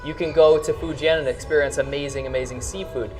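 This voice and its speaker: A man's voice: fairly high pitch, fairly even intonation, quick pace, recorded from a distance, soft background music. A man with an American accent hosting a casual vlog, speaking in an enthusiastic, emphatic way. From rural New York, United States. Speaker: vlog host. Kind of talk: casual vlog talk to camera.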